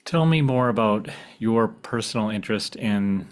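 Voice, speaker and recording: Lower-pitched man hosting an interview podcast. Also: lively intonation, moderate pace. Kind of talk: conversational interview questions.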